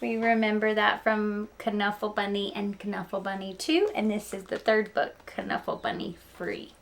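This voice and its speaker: A woman reading a picture book aloud to young listeners, expressive and speaking to them directly with small asides. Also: mid-range voice, normal speed. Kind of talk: children's read-aloud storytelling with asides.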